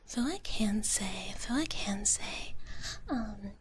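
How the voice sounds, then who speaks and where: A woman livestreaming ASMR, chatting casually in a gentle, slow whisper and often repeating short phrases, close to the mic. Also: mid-range voice, expressive, a little hiss or hum.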